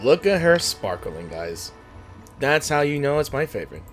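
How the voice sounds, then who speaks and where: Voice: medium pitch, sing-song, moderate pace. A game streamer giving casual, blunt, teasing reaction commentary over gameplay. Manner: blunt, sweary, giggly, teasing. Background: faint game music.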